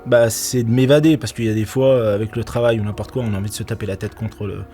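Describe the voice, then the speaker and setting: Middle-aged man, low voice, laughing often. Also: fairly even intonation, fast, warm tone, faint music behind. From Portugal. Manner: laughs often, many restarts and fillers. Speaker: actor and nightclub security manager. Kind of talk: casual interview answers.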